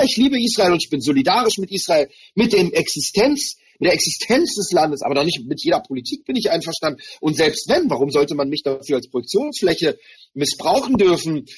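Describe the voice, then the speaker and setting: A man with a slightly husky voice that sits a little high for a man. He is a native Hebrew speaker raised in Germany. He talks through his experiences earnestly and thoughtfully, stopping now and then to search for the right word. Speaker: interview guest, rapper. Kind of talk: personal reflective interview talk.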